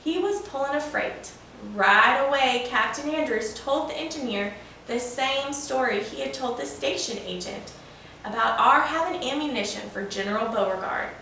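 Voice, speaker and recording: Lady playing an old train engine bragging about his big chase, folksy storybook telling, bright voice, really echoey room. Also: high voice, moderate pace. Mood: folksy, excited. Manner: folksy, boastful, spinning a yarn. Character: proud old male steam locomotive, folksy Southern storyteller. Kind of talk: children's storybook read-aloud. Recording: roomy, echoing, distant mic with faint noise.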